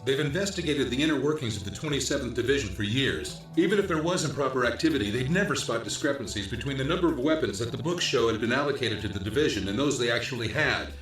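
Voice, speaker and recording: A man playing a diligent special-ops investigator, explaining findings in a measured briefing tone in a video game. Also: medium pitch, expressive, a bit bright, reverberant, faint music behind, close to an everyday voice, poor audio. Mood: matter-of-fact. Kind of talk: English dub acting in a video game cutscene.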